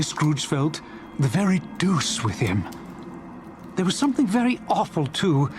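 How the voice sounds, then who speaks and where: A male narrator tells a dramatized story with theatrical suspense. His fairly high voice rises and falls freely, and he lingers over phrases. Clear ambient noise runs behind him.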